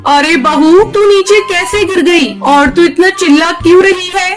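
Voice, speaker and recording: A woman's voice: very high-pitched, a little slow. A woman voicing a motherly crow mother-in-law in a Hindi children's cartoon, coaxing and practical. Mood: concerned. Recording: music bed behind voice.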